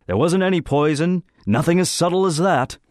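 A man's voice: a little high, moderate pace, no room sound, studio quality, pitched above the performer's own voice, lightly acted. A man voicing a pompous teenage schoolboy who stages a mock trial, judicious and accusing.

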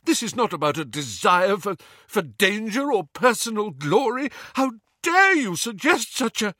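Man as outraged grown wizard, raised pitch. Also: big pitch swings, measured pace, pushed and bright, dry recording, studio quality, exaggerated voice. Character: indignant, wounded adult male wizard, outraged.

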